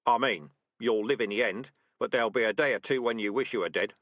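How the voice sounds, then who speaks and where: A man's voice: medium pitch, fast, no room sound, muffled recording, close to an everyday voice. A man voicing a cocky veteran male rat, a trap expert with a dry, teasing way of talking. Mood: instructive.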